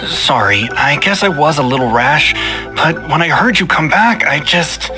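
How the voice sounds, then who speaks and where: A man's voice: a little high, lively intonation, normal speed, natural voice. A man voicing a nervous fugitive customer who speaks in an apologetic, timid way, over game music.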